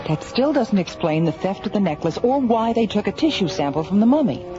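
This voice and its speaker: Actress as a skeptical, lively woman scientist. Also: medium pitch, brisk, lightly acted. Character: skeptical, level-headed professional woman scientist in charge. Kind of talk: radio drama acting. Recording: dull radio recording, music behind.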